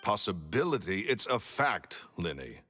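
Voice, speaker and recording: An actor playing a gruff, hard-nosed, impatient businessman in his late thirties with a booming voice, in a video game. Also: big pitch swings, measured pace, sharp tone, full character voice. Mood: irritated, dismissive. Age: middle-aged. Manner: booming, curt, impatient, intimidating. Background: background music.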